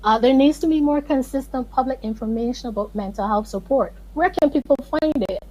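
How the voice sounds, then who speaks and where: Husky Barbadian woman, earnest. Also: high voice, fairly quick, a little mellow, dull audio. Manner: earnest, emphatic, pleading in places. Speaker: guest. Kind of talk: video call interview.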